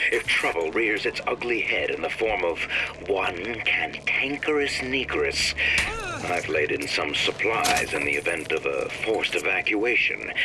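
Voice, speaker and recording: An actor playing a wary, pompous older industrialist recording an old-fashioned audio diary for a game. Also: male voice, deep voice. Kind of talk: period audio diary acting. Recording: clearly noisy old recorded audio log.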